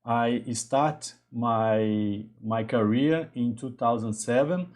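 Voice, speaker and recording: Brazilian man hosting a halting live stream. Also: medium pitch, darkish tone. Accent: Brazilian Portuguese. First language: Portuguese. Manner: halting, restarts often, greets viewers. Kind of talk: casual live-stream talk.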